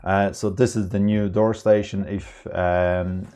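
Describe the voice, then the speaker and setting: A man presenting an informal, unscripted tech tutorial, explaining in a halting way with non-native phrasing. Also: low-pitched, little pitch movement, mellow, faint music behind.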